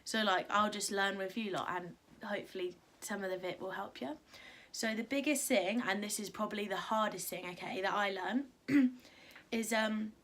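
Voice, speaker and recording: A young woman vlogging at home with a croaky morning voice, chatty and rambling with lots of fillers, giving encouraging advice. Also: medium pitch, moderate pace, a bit bright. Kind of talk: casual talk to camera.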